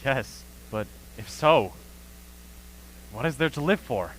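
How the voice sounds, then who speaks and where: A performer acting an earnest, dismayed lone survivor in a stage radio play, speaking in a deliberate, questioning, dramatic way. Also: medium pitch, lively intonation, slight background noise, rough recording. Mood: despairing, questioning. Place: school auxiliary gym.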